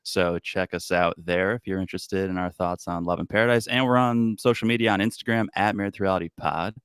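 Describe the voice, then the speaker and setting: A man's voice: sits low, steady tone, brisk, a bit bright, clear, resonant tone, no echo, studio quality. A man who co-hosts a podcast, speaking as a guest in a casual chat, confident and emphatic.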